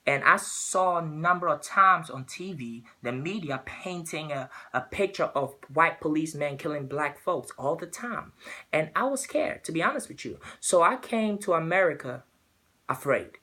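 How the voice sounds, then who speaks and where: Young man from Ghana, earnest persuasive speaker, bright forceful tone, restarts mid-phrase. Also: on the high side, animated, moderate pace. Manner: earnest, repeats words while searching for phrasing. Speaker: student giving a speech. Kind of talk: persuasive speech.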